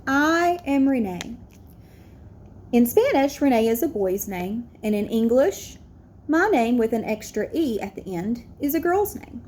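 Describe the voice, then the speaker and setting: Proud young immigrant boy narrator, read by a woman, earnest storybook delivery, higher register, slightly noisy recording. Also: very animated, a little slow, darkish tone. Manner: earnest, declarative. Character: proud, determined young immigrant schoolboy telling his story.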